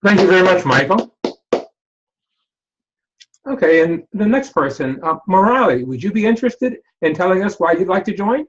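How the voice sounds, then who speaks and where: High-voiced man on a muffled call. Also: very animated, normal speed, long pauses, breathy edge. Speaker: segment leader. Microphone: video call.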